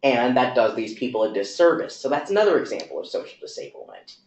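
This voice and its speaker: A man explaining his views in a conversational talk, speaking in quick run-on sentences with fillers. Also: medium pitch, wildly swooping pitch. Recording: echoey room, dull lo-fi sound.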